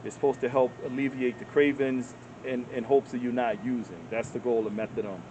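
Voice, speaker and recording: A man's voice: medium pitch, flat delivery, fairly quick, muffled recording, noisy background. A man hosting a street interview in a park, who repeats answers back and encourages his guests. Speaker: interviewer and channel host. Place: outdoors in a public park.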